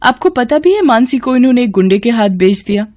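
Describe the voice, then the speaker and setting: A woman's voice: a little high, sing-song, brisk, darkish tone, pitched above the performer's own voice. A woman voicing a kind, motherly orphanage keeper in a cartoon moral story, earnest and reassuring. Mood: reproachful. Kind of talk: animated moral story acting.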